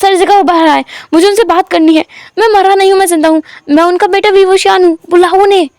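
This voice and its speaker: A desperate young heir cries out, his voice pitched high and strained as a woman narrator plays him. The sound is dry and close, with no room around it. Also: fairly even intonation, quick pace, dry recording. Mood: frantic. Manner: frantic, pleading, shouting. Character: distraught young man, heir to a rich family.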